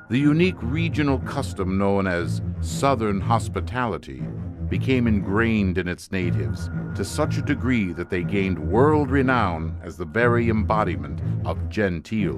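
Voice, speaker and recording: An actor playing the ominous host of a suspense anthology, narrating in a measured, formal, portentous manner. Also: male voice, fairly low pitch. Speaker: announcer and narrator.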